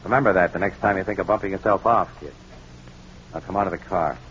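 An actor playing a tough, wisecracking newspaper photographer, brisk and slangy, in a 1940s radio crime drama. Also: male voice, sits low, fairly bright tone. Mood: hard-boiled. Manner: brisk, hard-boiled, slangy. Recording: muffled, noisy old radio recording.

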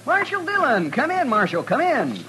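An American actor playing a talkative, eager small-town barber in a 1950s radio western, with a folksy, fussy manner. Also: male voice, extremely high pitch, wildly swooping pitch, moderate pace, heavily acted. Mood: eager, chatty. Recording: old radio recording, faint noise.

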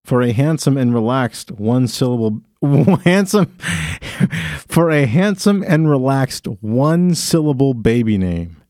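A man's voice: mid-range voice, lively intonation, slow, a little mellow, no room sound, studio quality. A man hosting a podcast, reading from his phone in a casual, crude way with frequent laughter. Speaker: podcast host. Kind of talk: casual comedic chat.

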